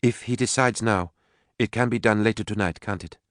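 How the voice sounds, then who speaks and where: A man reads as a youthful daughter in a storybook novel, his pitch lifted. She is earnest, eager and insistent, in a dry, close recording. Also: a little fast, fairly bright tone, dry recording, natural voice. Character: earnest, persistent young woman, a caring daughter.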